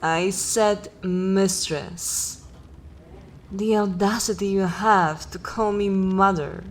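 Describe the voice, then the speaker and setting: A woman voicing a haughty, cruel, centuries-old vampire mistress in a dark fantasy ASMR roleplay, speaking in a formal, commanding and disdainful manner. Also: mid-range voice, fairly even intonation, slight background noise, lightly acted. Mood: stern, lofty. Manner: imperious, slow, disdainful, formal wording.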